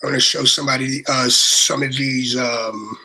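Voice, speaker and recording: A man from Brooklyn with a New York accent, chatting casually over a video call, swearing and excitedly repeating "you know what I mean". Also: mid-range voice, normal speed, rough recording.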